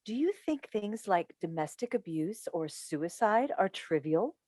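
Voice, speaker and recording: Woman acting a defensive, animated mother. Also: mid-range voice, unhurried, dry recording, studio quality. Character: defensive, exasperated middle-aged mother and talk show host. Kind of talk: staged play reading. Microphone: video call. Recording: video call.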